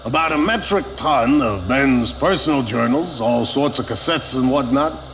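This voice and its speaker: Wry, animated private detective. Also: male voice, medium pitch, moderate pace. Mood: wry, businesslike. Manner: folksy, wry, informal. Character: busy, teasing small-time male private detective. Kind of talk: naturalistic audio drama dialogue.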